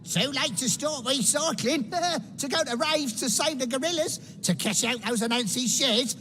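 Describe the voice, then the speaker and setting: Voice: high-pitched, moderate pace, sharp tone, slight background noise. A performer playing a raving, cryptic street prophet of doom in a video game, rambling and ominous. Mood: manic, ominous. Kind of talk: scripted video game cutscene acting.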